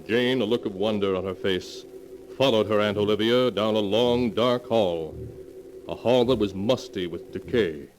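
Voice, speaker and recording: A man's voice: lowish voice, moderate pace, background noise. A man narrating a 1940s radio mystery tale in a solemn, theatrical storytelling manner. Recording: old 1940s radio recording, music behind.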